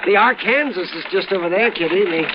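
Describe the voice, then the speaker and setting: A man's voice: high-pitched, a little fast, lightly acted. A man playing a fussy old frontier doctor. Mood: genial. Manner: chuckling, fussing, reassuring. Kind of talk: 1950s radio drama acting. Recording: muffled old radio broadcast with hiss.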